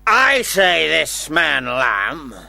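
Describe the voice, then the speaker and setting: Brief: a sodden, pompous English gent for radio drama, gravelly and sharp-edged, slow slurring delivery. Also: male voice, on the high side, big pitch swings, no room sound, heavily acted. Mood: pompous, offended. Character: drunken, leering English gentleman, pompous and sodden.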